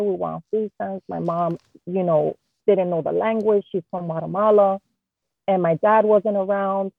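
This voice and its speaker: A woman's voice: mid-range voice, vocal fry, breathy edge, no echo, phone-quality sound. A woman in her thirties from Queens, a guest interior designer telling candid stories in a casual interview. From Queens, New York. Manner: candid, animated storyteller with slang.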